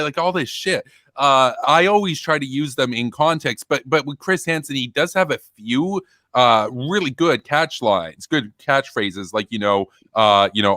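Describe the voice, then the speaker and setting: A man hosting a casual stream, talking in a rambling, animated way with many fillers and restarts. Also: medium pitch, no room sound. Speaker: stream host. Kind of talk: casual chat.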